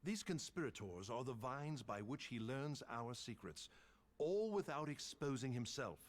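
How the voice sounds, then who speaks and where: A man voicing a solemn military captain who speaks deliberately and formally, as if confiding a secret. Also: medium pitch, sing-song. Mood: grave, confiding.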